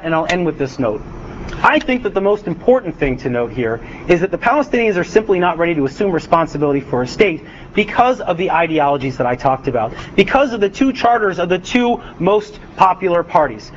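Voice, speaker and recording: A young adult man giving a lecture as an author, speaking with frequent hesitations and restarts. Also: a little high. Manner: hesitant, many uhs and restarts. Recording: dull lo-fi recording, faint noise.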